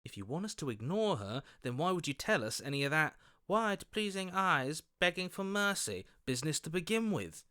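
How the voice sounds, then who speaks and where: An actor playing an earnest, anxious hero's inner voice in a video game, conversational and questioning. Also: male voice, on the high side, big pitch swings, moderate pace, few pauses, pushed and bright, no echo, studio quality. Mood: troubled, conflicted. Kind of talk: video game character voice acting.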